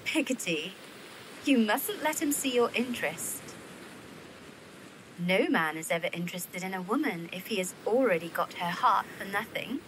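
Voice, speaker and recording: A woman's voice: medium pitch, lively intonation, normal speed, a little hiss or hum, close to an everyday voice. A woman playing a young, worldly, protective Victorian widow and elder sister in an audio drama. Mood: advising. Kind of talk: period audio drama acting.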